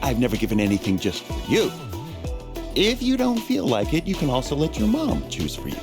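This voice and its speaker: Friendly merchant, video game acting, swooping intonation, easygoing, clear background music. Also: male voice, mid-range voice, huge pitch swings, brisk. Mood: genial, generous. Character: friendly, generous male shopkeeper, genial and easygoing.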